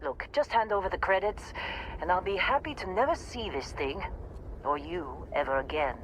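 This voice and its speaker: A woman's voice: on the low side, expressive, moderate pace, a little hiss or hum, lightly acted, rough recording. A woman playing a blunt, dry, commanding female mining supervisor in a video game, weary and no-nonsense. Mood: curt, irritated.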